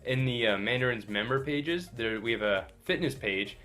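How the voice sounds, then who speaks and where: A young man from San Diego, a college student and drum major, speaking briskly and matter-of-factly over a video call. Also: medium pitch, lively intonation, pushed and bright, faint music behind. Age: young adult.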